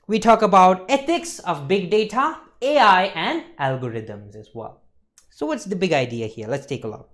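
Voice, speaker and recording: Young male lecturer, very lively intonation. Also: fairly high pitch. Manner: emphatic, explanatory, repeats checking phrases. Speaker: instructor. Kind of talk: exam revision lecture. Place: indoor lecture studio with slides.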